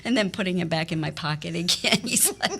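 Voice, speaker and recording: Woman radio host, lowish voice, animated laughing storyteller. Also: fairly quick. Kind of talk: casual chat, telling stories.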